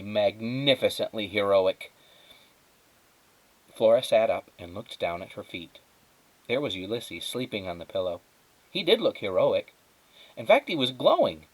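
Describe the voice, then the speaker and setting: A man reading a children's story aloud as a teacher would, with dramatic, measured phrasing and emphatic pauses. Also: fairly low pitch, poor audio. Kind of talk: expressive children's storybook narration.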